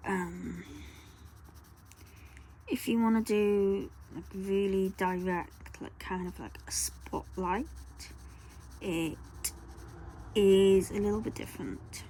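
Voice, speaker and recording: A woman, talking softly through a colour-along tutorial and often dropping to a whisper. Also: mid-range voice, fairly even intonation, slow, pauses often, slight background noise, rough recording. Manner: soft, rambling, often drops to a whisper. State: croaky voice.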